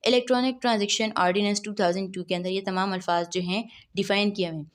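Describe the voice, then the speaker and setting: A woman giving a law lecture, reading legal clauses slowly and then explaining them quickly, often asking questions and answering them herself. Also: medium pitch, fairly even intonation. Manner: reads legal text slowly, then explains in quick mixed Urdu-English.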